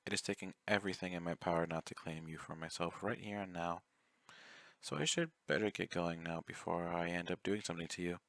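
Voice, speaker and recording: A man playing an obsessive, clingy male yandere fan in an ASMR roleplay, speaking intimately and coaxingly, courteous even while threatening. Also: sits low, fairly quick, gravelly, no room sound. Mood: politely menacing. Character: obsessive, clingy, soft-spoken young male yandere fan, politely menacing.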